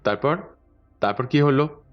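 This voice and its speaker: A man playing a composed, probing detective in an audio drama, deliberate and thoughtful as he questions. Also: medium pitch, dull audio, faint music behind, natural voice.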